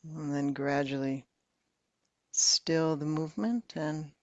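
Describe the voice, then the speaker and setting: A woman meditation teacher slowly leading a guided meditation in a soft, calm voice with long pauses. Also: sits low, no echo, highs cut off.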